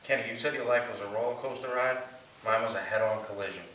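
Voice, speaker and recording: Male testimony reader, rehearsed dramatic delivery, sharp lowish voice, very reverberant distant recording with faint hiss. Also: fairly even intonation, fast talker. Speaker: stage reader telling his life story. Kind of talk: rehearsed dramatic monologue reading. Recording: very echoey room, distant mic, faint hiss.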